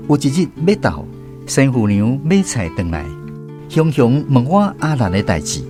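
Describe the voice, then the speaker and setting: A man's voice: mid-range voice, big pitch swings, normal speed, over music, close to an everyday voice. A man playing a grown storyteller reflecting on his boyhood, narrating a radio drama with a Taiwanese accent. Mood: reflective.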